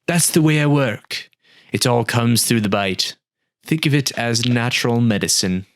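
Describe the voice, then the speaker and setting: A man voicing a calm old male talking desert snake that explains things patiently, with a matter-of-fact, wry manner, in a storybook reading. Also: mid-range voice, a little slow, no echo, natural voice.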